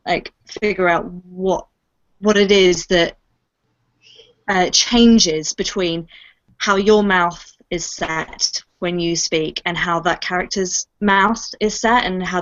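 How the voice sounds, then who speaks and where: A woman's voice: lowish voice, slow pace. A woman, a voice actor, casually giving advice in a panel Q&A. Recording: dull lo-fi online call audio.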